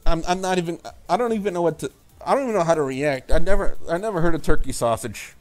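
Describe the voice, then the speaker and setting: A man hosting a let's play, casually commenting and reading game text aloud in a halting way. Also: on the high side. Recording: music under the voice.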